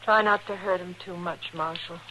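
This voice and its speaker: An actress playing an older, weary and protective frontier mother in an old radio western, with a creaky rural American voice and plaintive delivery. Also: on the low side, fairly even intonation, normal speed, sharp tone. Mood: pleading. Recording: noisy vintage radio recording.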